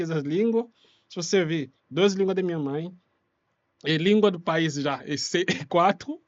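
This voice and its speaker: High-voiced man, Congolese accent, non-native speaker, interview guest, explanatory, dry dull recording. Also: no echo. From Kinshasa, Democratic Republic of the Congo. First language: Congolese languages such as Lingala. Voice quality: non-native Portuguese with irregular grammar. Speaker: interview guest, refugee rights activist.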